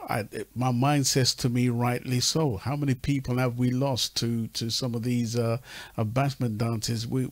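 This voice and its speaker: A man hosting a radio discussion in an earnest, deliberate way, repeating phrases for emphasis. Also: medium pitch, no echo. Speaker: radio talk show host. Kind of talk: measured conversational radio discussion.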